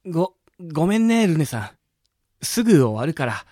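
A man's voice: a little high, very animated, moderate pace, dark tone, dry recording, studio quality. A man playing a pompous but easily cowed skeleton gym manager in a Japanese radio drama. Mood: sheepish. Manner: lecturing, self-deprecating, apologetic. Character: skeleton gym manager, pompous yet meek when scolded. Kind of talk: Japanese audio drama acting.

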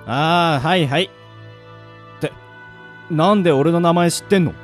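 A man's voice: a little high, normal speed, soft background music, natural voice. Man acting a grumbling, blunt young guy. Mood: irritated, dismissive. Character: grumbling, unlucky, skeptical casual young man. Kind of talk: Japanese radio drama acting with monologue.

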